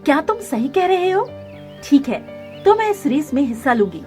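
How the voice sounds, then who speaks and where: A woman's voice: high voice, big pitch swings, moderate pace, mellow, faint music behind. A woman voicing a defiant young female cartoon bird who argues back assertively. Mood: determined.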